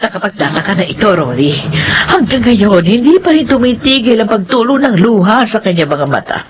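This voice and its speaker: A woman's voice: mid-range voice, big pitch swings, fairly quick, lightly acted. An actress as a stern, secretive older matron who dreads scandal. She speaks with a Filipino accent in a radio horror drama style. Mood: grave, firm.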